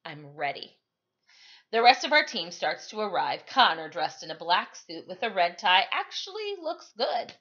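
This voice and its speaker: Very lively woman reading a story, slightly muffled. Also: mid-range voice, normal speed, few pauses. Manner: animated, emphatic storytelling with acted dialogue. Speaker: teacher reading a novel aloud. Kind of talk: expressive storytime read-aloud.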